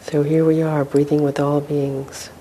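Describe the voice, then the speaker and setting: A woman leading a guided meditation as a teacher, speaking gently and without hurry, with long pauses. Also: flat delivery, poor audio. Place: meditation hall with a group. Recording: faint rumbling background noise.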